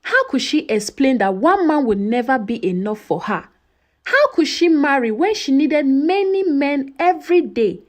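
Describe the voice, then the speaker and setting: High, animated woman storyteller. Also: normal speed. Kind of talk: scripted dramatic storytelling narration of a folktale.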